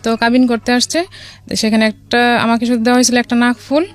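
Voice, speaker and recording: A woman from Bangladesh with a Bangladeshi accent, telling a personal story as a radio guest in a casual, somewhat halting way. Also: a little high, flat delivery. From Dhaka, Bangladesh. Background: music.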